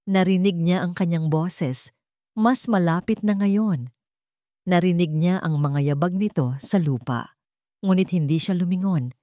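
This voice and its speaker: A woman's voice: on the low side, a little mellow, dry recording, studio quality. A woman reading a scripted romance story in a dramatic, sentimental storytelling manner. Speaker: story narrator.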